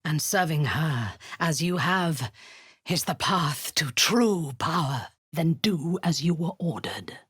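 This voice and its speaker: A woman's voice: low-pitched, expressive, takes it slowly, fairly bright tone, breathy edge, dry recording, studio quality, exaggerated voice. An actress voicing a cruel, zealous female warlord in a video game, giving orders in a cold, commanding tone with hissed half-whispers. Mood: menacing.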